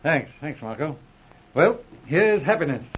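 Breezy, animated radio inspector. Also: male voice, fairly high pitch, measured pace, a little hiss or hum. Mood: cheerful. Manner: jovial, chuckling, old-fashioned British politeness. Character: genial detective inspector on leave, English-born, teasing. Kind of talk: 1940s radio drama acting. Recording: muffled old radio broadcast recording.

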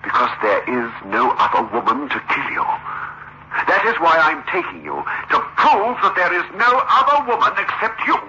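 A man's voice: fairly high pitch, wildly swooping pitch, moderate pace, sharp tone, full character voice. An actor playing a distraught, accusing man who also narrates a suspense tale, in melodramatic 1960s radio style on a noisy, muffled old recording. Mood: stern resolved. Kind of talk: 1960s radio drama acting.

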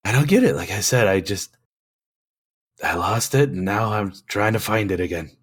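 Husky sick man, deadpan. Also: lowish voice, normal speed. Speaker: podcast co-host. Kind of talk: casual chat.

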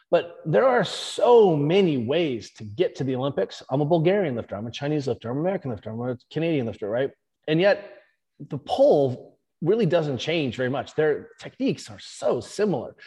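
A man, a strength and conditioning coach, explaining his views in an interview with animated emphasis. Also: a little high, big-room sound.

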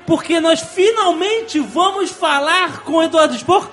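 A man with a Brazilian Portuguese accent and a strikingly high voice hosts a podcast. He talks in excitable, slangy banter full of exclamation. Music plays faintly behind him. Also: unhurried. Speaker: podcast host.

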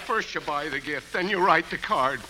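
An actor playing an exasperated store clerk on the edge of hysterics in 1940s radio comedy. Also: male voice, fairly high pitch, lively intonation, moderate pace, pushed and bright. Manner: whining, builds from weary patience to wailing. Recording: very noisy old radio broadcast.

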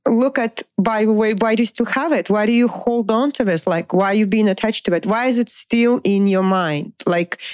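A woman's voice: medium pitch, darkish tone, no room sound, studio quality. A middle-aged woman giving an informal, unscripted motivational talk as a dating coach, emphatic and repetitive, putting rhetorical questions to the listener.

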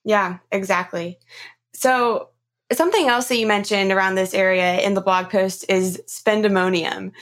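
Female interviewer, average pitch. Casual, playful, agreeable podcast delivery. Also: moderate pace, fairly bright tone. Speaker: podcast host.

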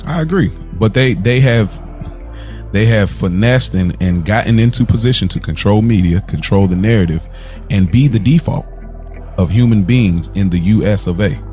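A man's voice: lowish voice, normal speed, mellow, faint music behind. Earnest man talking on a podcast. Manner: earnest, makes points in run-on stretches with repeats. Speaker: podcast co-host. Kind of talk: casual chat.

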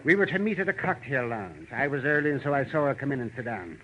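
An actor playing a gruff, middle-aged veteran cartoonist, imperious, fussy and impatient, in 1940s radio drama style. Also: male voice, medium pitch, sing-song, quick pace, fairly bright tone. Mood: testy recounting. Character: tall, gruff, crabby veteran male cartoonist. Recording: muffled, noisy vintage radio recording.

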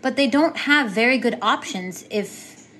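A woman being interviewed, recalling events casually and hesitantly, pausing to search for words. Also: a little high, lively intonation, a bit bright. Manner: hesitant, with many fillers and restarts. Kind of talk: casual recollection.

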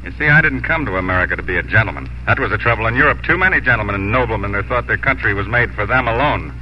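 An actor playing an earnest, proud young immigrant cattle drover in 1950s radio drama acting and narration. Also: male voice, fairly low pitch, expressive, very fast, slight background noise, natural voice. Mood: earnest, firm. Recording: muffled old radio broadcast, music.

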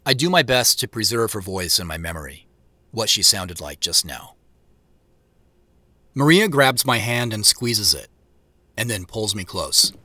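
A man's voice: on the low side, expressive, unhurried, long pauses, sharp tone, dry recording, heavily acted. A man playing a conflicted, career-driven man in an audio drama, narrating his own thoughts in an earnest, reflective way. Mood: wistful, reflective. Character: Joseph, a conflicted, career-driven man holding back emotion. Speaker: narrator and lead actor. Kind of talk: introspective first-person audio drama narration.

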